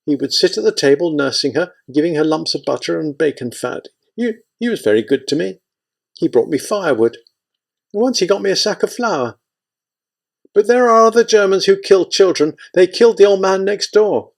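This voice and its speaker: A man's voice: sits high, animated, moderate pace, creaky, voice pushed up, exaggerated voice. A man voicing an old Ukrainian village woman, kindly but bitter, speaking with pleading indignation.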